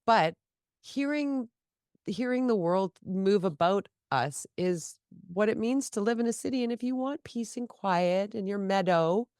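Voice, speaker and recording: A woman's voice: medium pitch, measured pace, smooth, no echo, studio quality. A woman co-hosting a casual political chat podcast, talking animatedly while she has a cold and sniffles. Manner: animated, jumps between topics, quoted asides. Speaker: podcast co-host.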